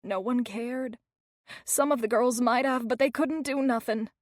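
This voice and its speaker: A woman voicing a frightened teenage girl, a battered runaway who talks in rough, ungrammatical speech. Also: fairly high pitch, unhurried, no room sound, clean studio sound, natural voice. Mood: sad.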